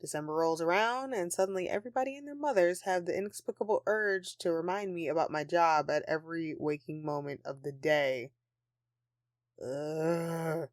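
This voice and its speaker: Sulky, sardonic Christmas elf played by a woman, lowish voice. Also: moderate pace, no echo, clean studio sound, close to an everyday voice. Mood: brooding, affectionate. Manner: sarcastic, sighing, dramatic. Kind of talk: intimate audio roleplay, addressing a partner.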